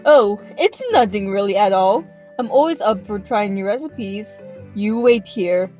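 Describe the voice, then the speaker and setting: A woman's voice: mid-range voice, a little slow, mellow, soft background music, close to an everyday voice. A woman playing a friendly, hospitable monkey-creature shopkeeper in an audio drama, chatty and welcoming. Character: friendly, hospitable female Monferno bakery shopkeeper.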